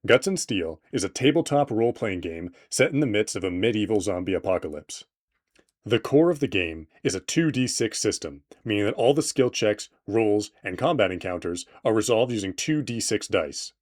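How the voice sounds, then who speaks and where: A man's voice: lowish voice, animated, normal speed, a little mellow. A man reading scripted promotional narration as a game creator presenting an introduction, with a playful, tongue-in-cheek manner.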